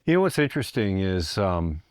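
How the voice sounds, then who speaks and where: A man with a Canadian accent, a musician being interviewed, telling his story in a casual, measured, slightly wry way. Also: low-pitched, big pitch swings, smooth, no echo, clean studio sound.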